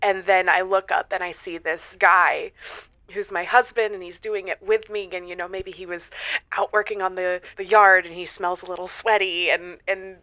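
An actress playing an earnest, picky single woman in her thirties, talking haltingly and emotionally as a caller in an audio drama. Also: fairly low pitch, fairly even intonation, sharp tone, dry recording, lo-fi sound. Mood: wistful, dreamy. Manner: halting, emotional, giggly hesitations. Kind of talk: semi-improvised audio comedy drama.

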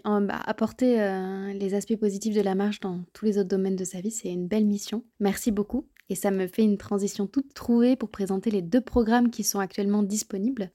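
A woman hosts a podcast and talks in a fluent, chatty way, asking questions and explaining things at length. She sometimes restarts a phrase as she translates on the fly. Her voice is mellow and warm, and her pitch sits in an ordinary middle range. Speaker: podcast host.

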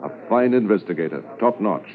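A tinny 1950s radio drama recording of a seasoned police officer. The voice is low and deliberate, recounting old cases with measured pride. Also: male voice, animated. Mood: proud, reflective.

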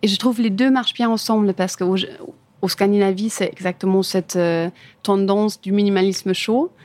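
A woman's voice: mid-range voice, steady tone, normal speed, a little mellow, no room sound. German-accented woman, hesitant conversational delivery. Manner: hesitant, searching for words in imperfect French. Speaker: interview guest, furniture designer. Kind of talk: casual interview answers about own career.

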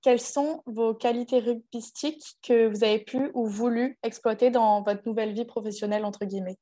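A woman hosting a podcast interview, asking short, direct questions. Her voice is a little higher than average, with a warm, mellow tone. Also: fairly even intonation, moderate pace. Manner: brief acknowledgements, plain questions.

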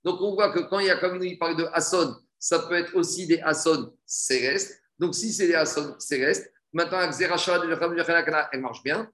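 A man's voice: on the high side, brisk, few pauses, a bit bright. A man giving an explanatory religious text lesson as a teacher, reading and translating in a didactic way, repeating phrases and answering his own questions. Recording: echoey room.

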